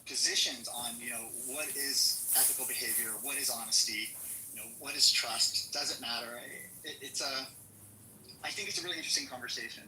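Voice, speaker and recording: Halting, thoughtful man, very bright voice. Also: medium pitch, a little hiss or hum. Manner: halting, thoughtful, many restarts and fillers. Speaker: psychology student, discussion participant. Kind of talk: casual group discussion. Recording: echoey room.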